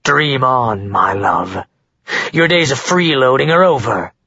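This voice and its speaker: A man's voice: mid-range voice, expressive, takes it slowly, bright, forceful tone, slightly rough, dull audio, heavily acted. A man voicing a stern, powerful father who speaks coldly and growls, in an audiobook reading. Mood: cold anger. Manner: cold and emotionless, growling.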